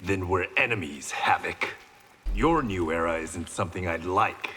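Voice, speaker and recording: A man's voice: deep voice, expressive, a little slow, bright, forceful tone, heavily acted. An actor playing a resolute, wry blind swordsman in a fighting game, speaking with dry confidence and determination. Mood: defiant. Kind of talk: fighting game character banter. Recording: long reverb, faint background noise.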